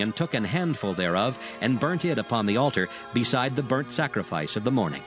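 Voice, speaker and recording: A man narrating scripture from a script in a measured, solemn manner. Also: mid-range voice. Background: music.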